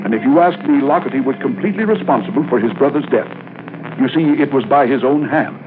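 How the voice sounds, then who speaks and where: A man's voice: medium pitch, lively intonation, fast, close to an everyday voice. An actor playing a self-important American insurance man of about fifty, prim and fussy, in an old radio drama. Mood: bitter, indignant. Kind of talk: 1950s radio drama acting. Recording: noisy, muffled old radio recording.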